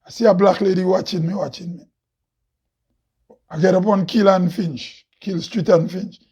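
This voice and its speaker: A man with a Grenadian accent talks in a loud, heavy voice that sits fairly high and sounds husky. He preaches with rhetorical questions and frequent laughs. The recording is dull and lo-fi. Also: steady tone, moderate pace. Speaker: host. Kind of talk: impassioned monologue.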